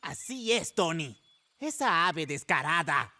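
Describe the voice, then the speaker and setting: A woman's voice: low-pitched, sing-song, slow pace, bright, forceful tone, full character voice. A woman playing a flustered cartoon rabbit man. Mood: indignant. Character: fussy male cartoon rabbit gardener, easily flustered. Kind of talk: cartoon dub acting. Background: music.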